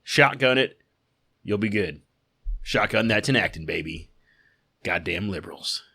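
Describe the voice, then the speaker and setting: A man's voice: fairly low pitch, expressive, unhurried, creaky, sharp tone, slightly rough, exaggerated voice. A man playing a folksy, colloquial American conspiracy-peddling podcast host, ranting and hawking quack cures. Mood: cocky, conspiratorial. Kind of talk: satirical podcast rant.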